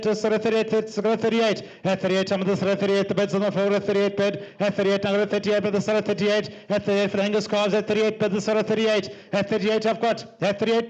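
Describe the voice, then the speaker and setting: A man auctioneering livestock, rattling off bids in a fast, rhythmic chant. His voice sits high and stays flat, looping the same figures over and over at a racing clip. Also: highs cut off. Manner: repetitive rhythmic bid calling.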